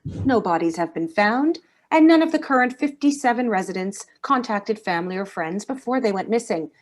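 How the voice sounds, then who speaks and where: A woman's voice: mid-range voice, lively intonation, moderate pace, lightly acted. A woman playing a serious television news anchor in a drama table read, reading in a formal style. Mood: grave, formal.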